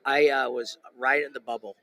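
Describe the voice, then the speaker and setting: Bright-voiced rambling male host. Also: medium pitch, moderate pace. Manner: animated, rambling with many restarts and fillers. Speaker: podcast host. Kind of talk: casual chat.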